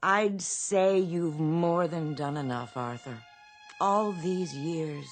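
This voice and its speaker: An actress playing a gentle, devoted older wife in a radio drama, with a tender, motherly manner. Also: low voice, takes it slowly, soft background music. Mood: tender, proud.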